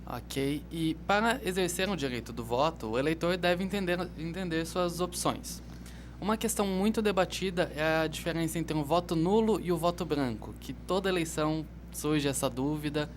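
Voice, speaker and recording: A man co-hosting a radio interview in a studio, putting a question to the guest in a measured, read-out way. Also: on the high side, slight background noise.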